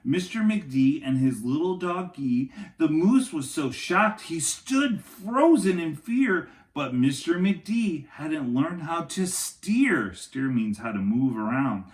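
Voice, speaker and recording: A man reading a picture book aloud to young children like a teacher, in an expressive, dramatic way with chatty asides. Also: a little high, takes it slowly, hardly any pauses, reverberant.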